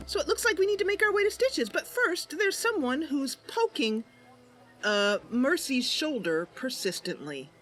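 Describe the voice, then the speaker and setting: A woman's voice: sits high, sing-song, moderate pace, fairly bright tone. A woman streamer casually narrating a game roleplay.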